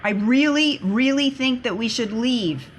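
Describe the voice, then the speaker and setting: A woman's voice: on the high side, a little slow, a little hiss or hum. A woman talking casually as a player in a tabletop roleplaying game.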